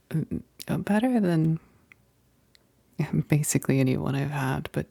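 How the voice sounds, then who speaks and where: A woman's voice: deep voice, darkish tone, no room sound, full character voice. A woman playing an affectionate, self-conscious vampire best friend in an intimate ASMR roleplay, whispering hesitantly and tenderly, close to the mic. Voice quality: breathy, whispery, creaky. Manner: slow, hesitant, halting, tender. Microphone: close, dry microphone.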